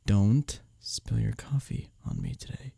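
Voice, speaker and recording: A man playing a smug, taunting office rival in an intimate roleplay audio drama, sardonic and teasing, with a husky low voice. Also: huge pitch swings, measured pace, warm tone, dry recording, full character voice. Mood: sardonic, needling. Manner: sardonic, teasing, needling, with breathy chuckles.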